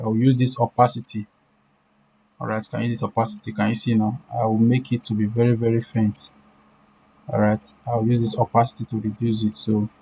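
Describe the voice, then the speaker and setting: A woman presenting an informal screen-share software tutorial, repeating herself and often checking that listeners are following. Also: very deep voice, slight background noise, poor audio.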